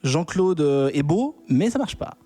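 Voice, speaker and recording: A man's voice: on the high side, big pitch swings, a little slow, dark tone, soft background music. A man co-hosting a casual film podcast, chatting and rambling through his opinions. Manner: rambling, chatty, many fillers. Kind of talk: casual chat.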